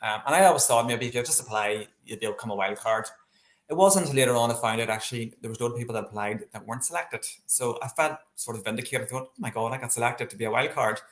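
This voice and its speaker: A man's voice: medium pitch, fast talker. Chatty Northern Irish man in an echoey room. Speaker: interview guest, landscape painter. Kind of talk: casual interview, personal storytelling. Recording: echoey, roomy sound.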